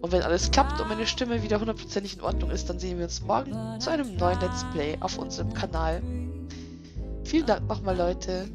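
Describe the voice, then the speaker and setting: Hoarse woman chatting over game music. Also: mid-range voice, animated, fairly quick. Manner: reflective, chatty. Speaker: let's play host. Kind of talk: casual gameplay commentary. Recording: game music playing behind.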